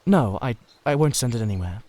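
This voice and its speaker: A man's voice: mid-range voice, animated, fairly quick, dark tone, natural voice. Man as a reserved, earnest painter. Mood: reluctant. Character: reserved, earnest male painter, reluctant and sensitive. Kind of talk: dramatised classic novel reading.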